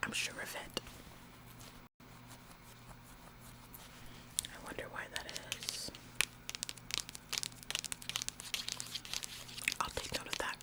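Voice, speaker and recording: A woman's voice: brisk, natural voice, low-quality recording. A woman in a whispered ASMR roleplay, playing a bossy, officious store employee who repeats phrases for emphasis. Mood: conspiratorial. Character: bossy, overzealous female retail store employee, falsely apologetic. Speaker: ASMR performer.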